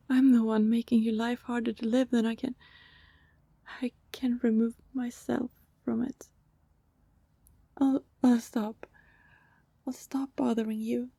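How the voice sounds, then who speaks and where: A woman performs a female vampire in love with her neighbor, persistent and anxious, in an ASMR roleplay. Her voice is soft and dark, with a breathy, creaky edge. She pleads in halting phrases that often sink toward a whisper. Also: on the high side, dry recording, exaggerated voice. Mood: hurt, resigned.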